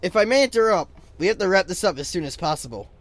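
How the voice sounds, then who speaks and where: An actor playing an earnest, reassuring creature leader with a formal, firm manner. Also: male voice, high voice, animated, quick pace, no room sound.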